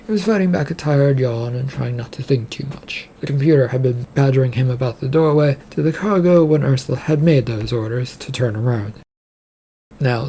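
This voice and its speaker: A narrator with a husky, creaky voice, reading serial fiction aloud. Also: medium pitch, mellow, a little hiss or hum.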